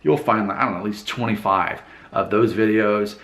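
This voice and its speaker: A man's voice: lowish voice, fairly even intonation, normal speed, fairly bright tone, echoey room. A man hosting his own channel, speaking to camera in a candid, emphatic, preachy monologue. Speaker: YouTube channel host. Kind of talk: casual talking-to-camera monologue.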